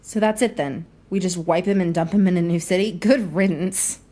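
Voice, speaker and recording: A woman's voice: fairly low pitch, moderate pace, dark tone, pitched below the performer's own voice, close to an everyday voice. A woman playing a stubborn, blunt young reporter with no powers in an audio drama, often sarcastic. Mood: scornful.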